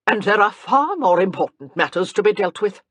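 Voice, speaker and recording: A woman reads a stern, elderly grandmother of high rank who judges everyone bluntly and gives orders. The storybook voice rises and falls a lot and sounds a little husky, recorded dry and close. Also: very animated, moderate pace, dry recording. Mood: imperious. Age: older. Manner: imperious, cutting.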